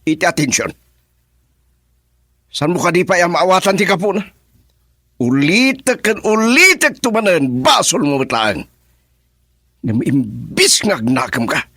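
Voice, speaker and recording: A man playing an exasperated older father who scolds in a raspy voice, in a radio drama. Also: fairly high pitch, sing-song, a little slow, long pauses, pushed and bright, no echo, clean studio sound, heavily acted. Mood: exasperated, mocking.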